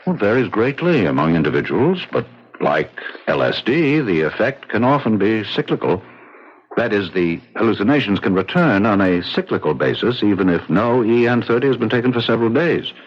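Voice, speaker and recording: A coolly persuasive psychiatrist in a 1970s radio play. His very low, husky voice moves expressively as he lectures with clinical assurance. The old broadcast sounds muffled. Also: big pitch swings, fairly quick. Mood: clinical, assured. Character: smooth-talking, self-assured male psychiatrist, coolly persuasive. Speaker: doctor. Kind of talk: 1970s radio drama acting.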